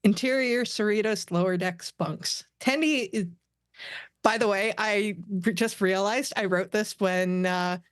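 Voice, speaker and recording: A woman's voice: medium pitch, measured pace, clean tone, no room sound, studio quality. A woman narrating a script at a casual table read.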